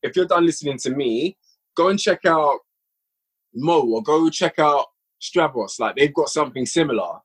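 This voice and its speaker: A man guesting on a podcast, talking about his audio drama work in a relaxed, rambling way with lots of fillers. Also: fairly high pitch, moderate pace, slightly rough. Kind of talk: casual podcast chat.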